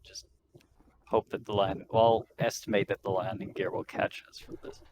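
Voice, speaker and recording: A man takes part in a tabletop roleplaying game. His voice sits fairly low and his delivery is casual. The recording is clearly noisy behind him. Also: little pitch movement, normal speed.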